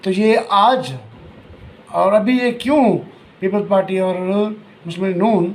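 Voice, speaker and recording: A man with a Pakistani accent giving informal political analysis to viewers as a commentator, in a halting delivery that often repeats phrases. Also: high-pitched.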